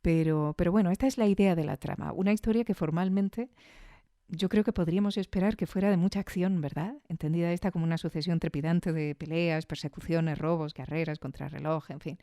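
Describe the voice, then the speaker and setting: A woman from Spain with a lowish, mellow voice presents a podcast review. She talks conversationally, dropping in chatty asides and checking in with the listener. The recording is dry and close, with no room sound. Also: steady tone, brisk, dry recording. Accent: Spanish from Spain. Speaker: podcast host. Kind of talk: casual scripted podcast review.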